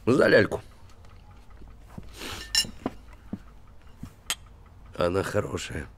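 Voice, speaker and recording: An actor in his thirties playing a gruff, warm-hearted small-town handyman, lovesick and bitter, talking in blunt colloquial speech in a naturalistic TV drama. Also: fairly low pitch, big pitch swings, normal speed, background noise. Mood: fond, wistful. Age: 30s. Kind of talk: naturalistic television drama acting. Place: small wooden house room.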